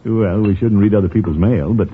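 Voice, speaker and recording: An American actor playing a fussy, pompous middle-aged uncle in an old-time radio comedy, blustering and stammering theatrically. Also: male voice, lowish voice, a little fast, close to an everyday voice. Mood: scheming. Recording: tinny, noisy old radio recording.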